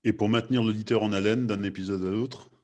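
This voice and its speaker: Male host, slightly low pitch, casual moderating style. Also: fairly even intonation, fast, warm tone.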